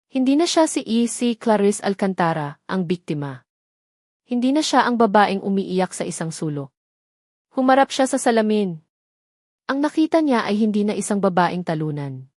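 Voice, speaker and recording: A woman's voice: medium pitch, a little mellow, dry recording. Woman narrating a dramatic story, dry close sound.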